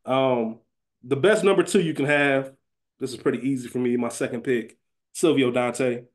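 Male podcast co-host, mid-pitched, conversational and emphatic, tends to repeat himself for stress. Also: normal speed. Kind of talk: casual sports-draft style podcast chat.

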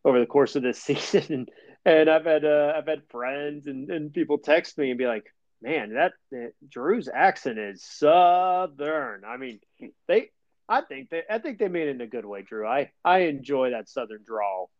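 A man's voice: fairly high pitch, animated, moderate pace, a little mellow, dry recording, highs cut off. Easygoing man rattling off football stats. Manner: easygoing, wry, stat-heavy. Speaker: podcast host. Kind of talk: casual sports chat.

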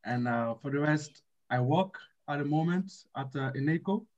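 A man's voice: on the high side, animated, normal speed, a little mellow. A man chatting casually as an interview guest, informal and slangy, on a slightly muffled lo-fi recording. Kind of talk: casual chat.

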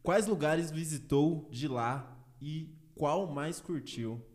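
Unhurried man reading listener questions. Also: on the high side, darkish tone. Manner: unhurried, reads questions aloud. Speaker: podcast host.

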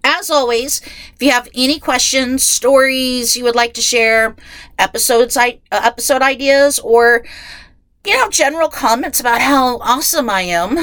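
A young adult woman talks casually into her podcast mic, her voice stuffed up and nasal from a head cold. She sounds bright and sharp, a touch high for a woman, and the sound is close and dead dry from recording inside a closet. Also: no echo. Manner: chatty, self-deprecating, many restarts. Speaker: podcast host. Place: closet at home.

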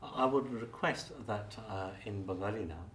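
A man with a fairly low voice hosts a community podcast, restating his guest's points and adding quick agreements. He sits away from the mic, so his voice sounds distant. The room is roomy and echoey, with faint noise underneath. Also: fairly bright tone. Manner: frequent short backchannels, summarizing the guest. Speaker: podcast host. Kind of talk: conversational interview.

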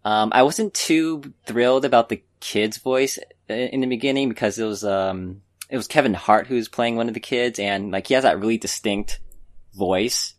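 A man's voice: medium pitch, normal speed. A man co-hosting a podcast, talking casually and hesitantly as he gives informal opinions in a meandering way. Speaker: podcast co-host. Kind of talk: casual chat, informal film review.

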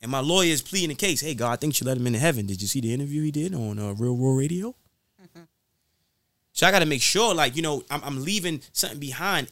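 A man's voice: medium pitch, a bit bright, no room sound. A man giving motivational talk as an interview guest, speaking emphatically in a preacher-like way and repeating phrases to drive them home.

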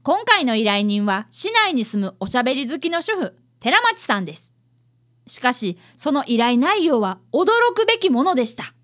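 An eager schoolboy detective voiced by a woman, very high and lively in pitch. He narrates a radio drama earnestly and speaks like a dutiful young apprentice. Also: normal speed. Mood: earnest narrating.

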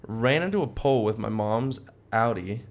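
Low-voiced young American guy co-hosting a podcast. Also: lively intonation, moderate pace. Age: young adult. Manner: slangy, bro-heavy, informal talk. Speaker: podcast co-host. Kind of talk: casual podcast banter.

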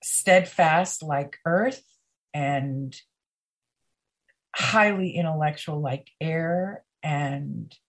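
A woman guest on a podcast, talking slowly, emphatically and intimately, with pauses. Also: low-pitched. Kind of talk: conversational podcast interview.

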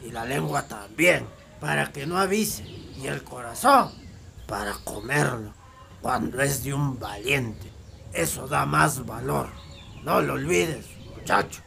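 A man's voice: medium pitch, slow pace, creaky, a crowd in the background. A man playing a grim older master marksman, terse and instructive, in a radio drama. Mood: grave, instructive.

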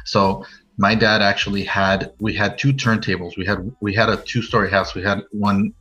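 A man's voice: on the low side, level tone, moderate pace, sharp tone, husky. An American man in his late forties telling his personal story as a podcast guest in a casual, rambling way, full of "you know". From Norwalk, California, USA. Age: late 40s. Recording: muffled lo-fi audio over music.

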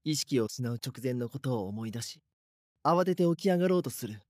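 A man's voice: mid-range voice, lively intonation, brisk, darkish tone, no echo, clean studio sound, natural voice. A man voice-acting a timid, frightened young man who narrates in the first person in an audio drama, hesitant and uneasy. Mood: panicked.

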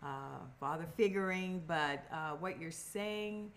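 A hoarse woman, the host's mother, talking on a podcast in a reflective, halting way with many fillers. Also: lowish voice, slight background noise. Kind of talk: casual podcast chat.

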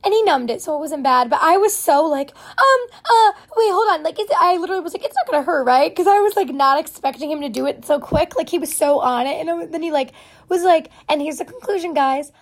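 Young woman w a high hoarse scratchy voice, rambling podcast chat, lots of likes and ums. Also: expressive, brisk. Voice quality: hoarse, raspy voice from vocal cord nodules. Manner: rambling, chatty, self-deprecating with many fillers. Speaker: podcast host. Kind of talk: casual solo podcast chat.

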